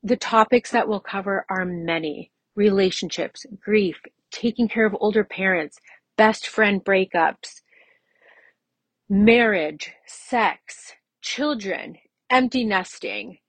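A woman's voice: mid-range voice, takes it slowly, dry recording. A woman in her mid-forties hosting a solo podcast, talking in a personal, conversational way and repeating phrases for emphasis. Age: mid-40s. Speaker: podcast host.

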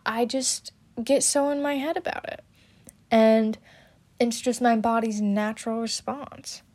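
A young woman talking about her own experience, hesitant and halting, with many fillers and restarts. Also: a little high, steady tone, darkish tone. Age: young adult. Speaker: student podcaster. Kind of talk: personal talk about her stutter.

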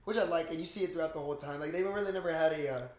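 A man with a slightly high voice talks movies casually as a podcast co-host. His delivery is halting and informal, and the room echoes clearly around him. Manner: halting, informal, mild swearing.

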